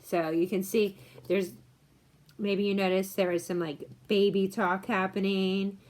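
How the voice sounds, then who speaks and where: Woman reading aloud to children, medium pitch. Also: level tone, measured pace, darkish tone. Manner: explanatory, asks listeners questions. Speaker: children's story reader. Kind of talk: read-aloud with casual asides to children.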